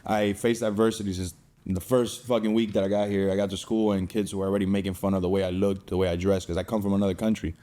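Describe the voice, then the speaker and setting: A man's voice: sits low, level tone, quick pace, warm tone. A young man from Cuba telling his personal story as a podcast guest in a casual, streetwise way, with slang and swearing.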